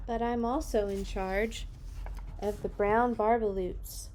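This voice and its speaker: A woman voicing a bossy, indignant oldish little male forest creature in a children's storybook, sharp and emphatic. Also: medium pitch, steady tone, takes it slowly, lightly acted. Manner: shouting, emphatic. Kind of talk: children's storybook read-aloud.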